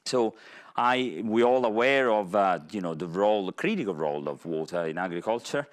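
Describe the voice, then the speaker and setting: A man from Italy speaks with a clear Italian accent, his voice sitting a little on the low side. He gives a public lecture as a professor, moving at a halting pace and pausing often to search for his words. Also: lively intonation. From Italy (Naples). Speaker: university professor and researcher.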